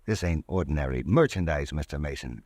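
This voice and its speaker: A man's voice: a bass voice, animated, fairly quick, darkish tone, dry recording, studio quality. An actor playing a menacing gangster who bribes a clerk, speaking in slangy, cold tough-guy talk in 1940s radio acting.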